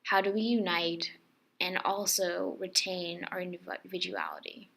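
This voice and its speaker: A young woman reading a personal essay as voice-over, soft and reflective, often close to a whisper. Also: lowish voice, level tone, a little slow, gravelly, slight background noise. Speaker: student filmmaker narrating.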